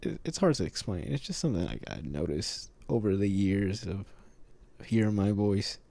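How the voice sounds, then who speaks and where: Low gravelly man, halting, full of fillers. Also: lively intonation, warm tone. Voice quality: slightly nasal. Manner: halting, many fillers and pauses. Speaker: vlogger. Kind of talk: casual reflective monologue.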